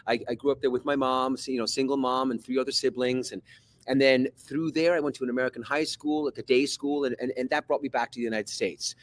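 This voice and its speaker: A man from Switzerland, a podcast guest who is an actor and coach, telling a personal story in a thoughtful, halting way with many repeated words. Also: mid-range voice, fairly bright tone. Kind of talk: reflective personal story in conversation.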